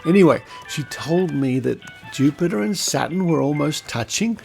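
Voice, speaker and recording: A man playing a shifty astrologer in a comedy podcast sketch, with halting, deliberate salesman patter. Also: fairly high pitch, lively intonation, creaky, music in the background. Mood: conspiratorial. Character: shifty male astrologer upselling dubious insurance.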